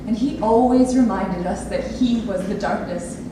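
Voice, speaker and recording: Actress as intense grown daughter, echoey distant stage. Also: female voice, mid-range voice, moderate pace, lightly acted. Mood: pleading, quiet. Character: grown daughter of an abusive father, pleading, intense. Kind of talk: staged play reading monologue. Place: theatre lab room with an audience area. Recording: very echoey room, distant mic, noisy.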